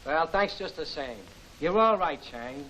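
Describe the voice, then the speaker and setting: High-pitched, brash garage gangster. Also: male voice, animated, measured pace, fairly bright tone. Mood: brash, breezy. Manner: slangy, bossy tough-guy talk. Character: brash, slangy small-time gangster running a garage. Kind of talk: 1940s film serial acting. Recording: very noisy, crackling old film soundtrack.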